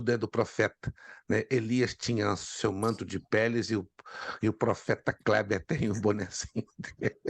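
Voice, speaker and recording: A man, hoarse from a cold, teaching an online Bible class and calling on students by name. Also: deep voice, dry recording. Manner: repeats key points for emphasis.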